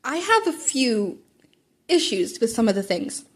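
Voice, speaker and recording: A woman's voice: fairly high pitch, very animated, unhurried. A woman voicing a stern, exasperated male teacher, with blunt, incredulous questions, in a story reading. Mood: measured, concerned. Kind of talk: character dialogue in a fan fiction reading.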